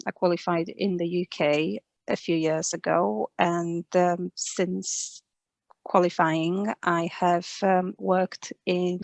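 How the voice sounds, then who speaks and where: A woman in her forties with a Polish accent, talking reflectively and casually, often halting and repeating words. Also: lowish voice, vocal fry, dry recording. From Poland. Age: 40s. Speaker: interview guest, speech and language therapist.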